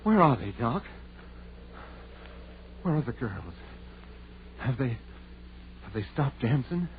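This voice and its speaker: A nervous country fellow in a vintage radio horror play. He is hesitant and folksy, his pitch swinging up and down at a slow pace. The old recording sounds thin and tinny. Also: lively intonation, a little hiss or hum. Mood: alarmed. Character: timid, superstitious country sidekick, easily spooked.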